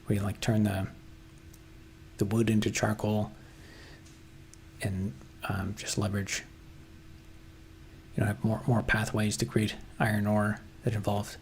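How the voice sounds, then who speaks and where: A man with a tired, slightly hoarse voice, chatting casually on a livestream about his coding project. He clears his throat often and talks hesitantly with lots of fillers. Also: fairly low pitch, a little hiss or hum. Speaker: software developer livestreaming. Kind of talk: casual livestream chat, answering viewers.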